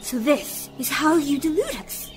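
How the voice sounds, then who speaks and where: An ailing village woman in an audio drama, her voice fairly high and very unhurried. She speaks haltingly and with theatrical weight, with clear music underneath. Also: big pitch swings. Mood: scornful, angry. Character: sick local woman, pleading, then scornful and defiant.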